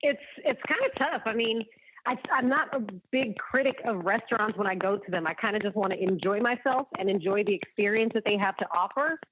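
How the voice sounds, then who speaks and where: A woman's voice: mid-range voice, a little fast, slight background noise. A woman, a chef and competition finalist, chatting frankly and easily as a podcast guest and laughing readily. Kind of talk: casual podcast interview. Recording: tinny phone-like line.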